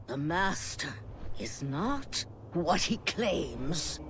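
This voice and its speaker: A woman's voice: sits low, sing-song, very slow, soft background music, full character voice. A woman playing a stern, proud, principled vampire inquisitor in a video game, solemn and resolute. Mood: solemn warning. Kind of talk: dramatic video game acting.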